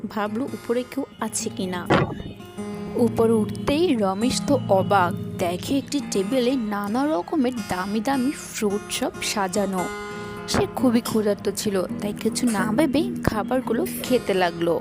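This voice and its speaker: A woman's voice: mid-range voice, lively intonation, normal speed. A woman narrator reading a scripted fairy tale in a storytelling style. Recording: music under the voice. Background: background music.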